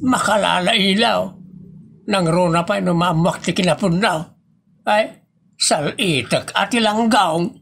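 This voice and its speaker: A man playing an excitable, bumbling husband in a comic audio drama, with a creaky, husky voice and an exclamatory delivery. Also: high-pitched, a little slow, slight background noise, full character voice. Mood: grumbling, startled.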